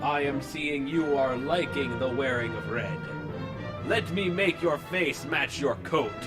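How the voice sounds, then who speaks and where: A man's voice: medium pitch, unhurried, over loud music, lightly acted. A man voicing a cocky, macho cartoon cat hero who swaggers through one-liners in a parody story. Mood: steadfast.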